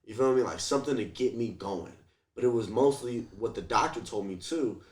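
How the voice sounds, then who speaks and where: A man speaks at a middling pitch in a casual interview. He tells stories loosely, with slang and swearing, often checking in with his listener and voicing little quotes as he goes. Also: brisk, a little mellow. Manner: slangy, swears, acts out quotes, frequent "like" and "you feel me". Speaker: interview guest, rapper. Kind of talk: casual, animated storytelling chat.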